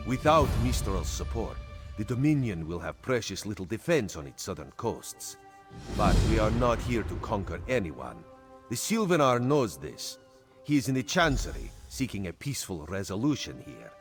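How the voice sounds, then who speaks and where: A man's voice: lowish voice, sing-song, normal speed, over music. An actor playing a sly, humble male cat-man agent in a video game, wryly loyal and speaking of himself in the third person. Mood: wry, measured. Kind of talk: video game character dialogue.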